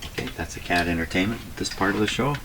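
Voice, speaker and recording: A man with a slightly hoarse voice gives casual running commentary as he works, muttering to himself and reading things out deliberately. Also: sits low. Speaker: radio repairer and video host. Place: radio repair workshop at a bench. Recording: clearly noisy workshop recording with clicks.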